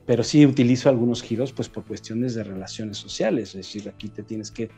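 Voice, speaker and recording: Mellow-voiced man with a Mexican accent. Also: on the low side, faint music behind. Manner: reflective, halting, with frequent restarts. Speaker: writer being interviewed. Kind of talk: conversational interview.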